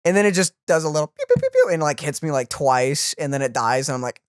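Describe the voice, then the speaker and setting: Gaming co-host: medium pitch, very lively intonation, casual and animated reaction talk. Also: fast talker, clear, resonant tone, dry recording, studio quality. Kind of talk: casual chat about a video game.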